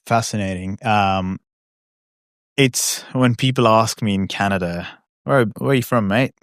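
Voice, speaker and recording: Low-voiced host, mixed Australian-British accent. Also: male voice, measured pace, no room sound, studio quality. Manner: chatty, rambling, self-deprecating. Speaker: podcast host. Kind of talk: casual interview chat.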